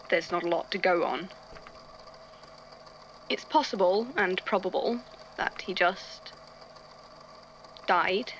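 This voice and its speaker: A woman's voice: fairly low pitch, a bit bright, slight background noise, low-quality recording. A woman playing an irritable, bookish male archivist in an audio drama, speaking hesitantly into a recorder and thinking aloud. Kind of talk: audio drama narration, recorded case notes.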